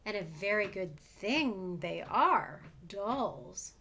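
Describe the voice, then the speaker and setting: A woman's voice: medium pitch, animated, very slow, sharp tone, slight background noise, exaggerated voice. A woman voicing an odd, greedy storybook creature, fierce and gleeful, boastful and smug in the way it insists.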